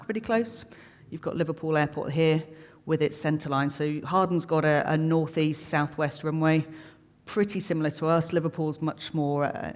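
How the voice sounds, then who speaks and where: Echoey, plain-spoken middle-aged presenter. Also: medium pitch. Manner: plain-spoken, chatty, explains jargon with everyday comparisons. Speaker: air traffic controller giving a talk. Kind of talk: informal explanatory presentation. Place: reverberant room, live talk to an audience. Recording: long echoing hall reverb.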